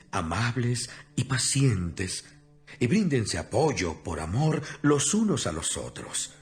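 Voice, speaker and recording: Guy playing solemn earnest apostle writing from prison, very expressive up and down, music behind, audio bible. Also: medium pitch. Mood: earnest exhortation. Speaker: Bible narrator. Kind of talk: dramatized scripture reading.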